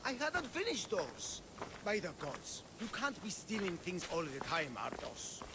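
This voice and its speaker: A man's voice: high voice, wildly swooping pitch, unhurried, noisy background, full character voice. An actor playing a fatherly, remorseful older man who is mildly exasperated, in a video game cutscene. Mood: sheepish, exasperated.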